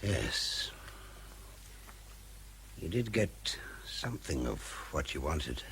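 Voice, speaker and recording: An actor playing a grave, resigned military doctor in a radio drama, subdued and deliberate. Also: male voice, very deep voice, very animated, fairly bright tone, a little hiss or hum, heavily acted. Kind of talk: British radio drama acting.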